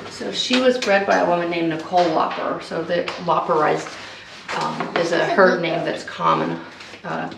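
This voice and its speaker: A woman's voice: fairly low pitch, animated, moderate pace, creaky. An older woman farmer patiently explaining how to milk a goat, step by step. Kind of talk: explaining and instructing. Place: goat milking barn. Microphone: camera mic at a distance. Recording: echoey barn, far from mic, loud noise.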